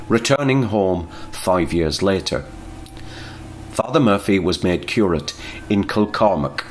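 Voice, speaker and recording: Male narrator, lowish voice, measured documentary read over a faint hiss. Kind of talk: scripted history narration.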